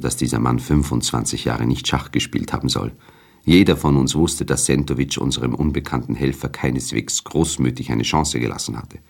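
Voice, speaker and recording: A man narrating a literary radio drama in a measured, formal storytelling manner. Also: rumbling low, husky.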